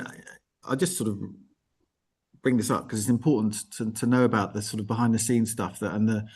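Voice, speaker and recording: A man's voice: medium pitch, darkish tone. A man hosting an informal live interview in a conversational way, often rephrasing and listing as he goes. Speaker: host.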